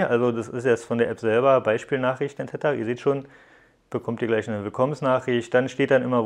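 A man narrating a casual hands-on app review, explaining things step by step and addressing viewers directly. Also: mid-range voice.

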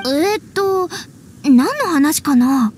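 A woman playing an earnest, cheerful young female scout in a Japanese anime game, perky and expressive. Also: sits high, slow pace, slight background noise. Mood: puzzled. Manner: perky, expressive, polite-casual.

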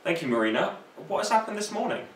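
A man's voice: high-pitched, very animated, quick pace, fairly bright tone. A young man presenting scripted news as an anchor, recorded in a room from some distance. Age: young adult. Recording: roomy, distant mic, faint noise.

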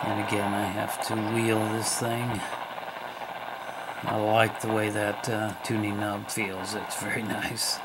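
Slow-talking man over loud radio static. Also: on the low side, little pitch movement. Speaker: shortwave radio hobbyist presenter. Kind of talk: casual hands-on demonstration. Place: indoors at a wooden table. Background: shortwave radio static, tones and ticking.

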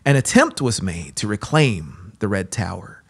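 A man in his thirties narrating a horror story in a deliberate, formal, intense, dramatic way. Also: fairly low pitch, big pitch swings, vocal fry, slightly rough. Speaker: audiobook narrator. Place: small brick-walled room studio. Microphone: close boom-mounted broadcast microphone.

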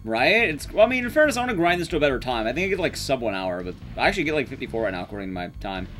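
A man's voice: medium pitch, very animated, very fast, fairly bright tone, faint music behind. A young man giving casual, sweary, self-deprecating live commentary as he speedruns a video game. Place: small room at home, game sound behind.

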